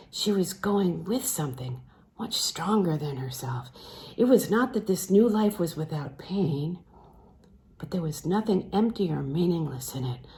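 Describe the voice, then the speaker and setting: Low-voiced, mellow woman reading a novel. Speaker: audiobook reader. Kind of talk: literary novel read aloud.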